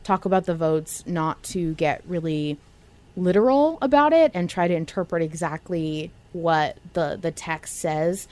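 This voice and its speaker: A woman's voice: on the low side, measured pace, a little mellow. A woman co-hosting a fan podcast, chatting casually and using slangy language. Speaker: podcast co-host. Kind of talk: casual fan discussion of an audio drama.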